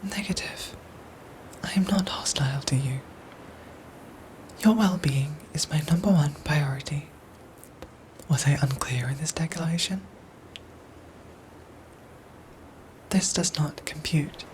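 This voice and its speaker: A woman's voice: low-pitched, a little hiss or hum, poor audio. Whispering female android soldier, acted by a woman. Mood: puzzled, reassuring. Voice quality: soft, breathy whisper. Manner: formal, precise, measured robotic phrasing. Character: protective android robot soldier, female, formal and caring. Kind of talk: whispered ASMR roleplay acting.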